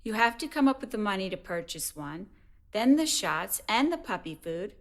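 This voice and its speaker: A patient but cautious middle-aged mother in an audio drama, acted by a woman. Her voice is medium in pitch, and she speaks to her grown son with care. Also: sing-song, normal speed, natural voice. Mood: hesitant, concerned.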